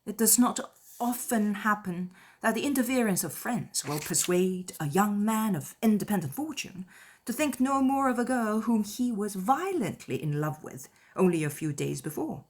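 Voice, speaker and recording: A woman acting a witty, spirited young gentlewoman. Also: medium pitch, animated, normal speed, close to an everyday voice. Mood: bitter, indignant. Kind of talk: dramatized classic novel audiobook.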